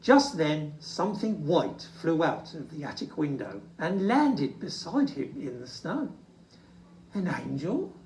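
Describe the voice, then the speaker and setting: A storyteller reading to children expressively, with dramatic, drawn-out emphasis. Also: mid-range voice, big pitch swings, a little mellow, a little hiss or hum. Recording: roomy, distant microphone.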